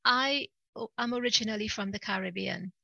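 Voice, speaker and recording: A middle-aged woman from Trinidad speaks in a casual interview, sincere and repeating herself for emphasis. Her voice is bright and edged with fry. The recording is close and dry. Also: mid-range voice, brisk, no room sound, lo-fi sound. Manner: earnest, repeats words, laughs easily. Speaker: guest coach.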